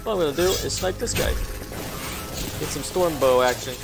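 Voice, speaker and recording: A man's voice: on the high side, normal speed, vocal fry. A man giving casual gameplay commentary as he walks viewers through a video game. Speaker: gamer giving a walkthrough. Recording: game music behind the voice.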